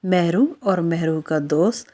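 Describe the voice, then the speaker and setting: A woman's voice: fairly low pitch, a little slow, mellow. A woman narrating a scripted children's moral story as the storyteller.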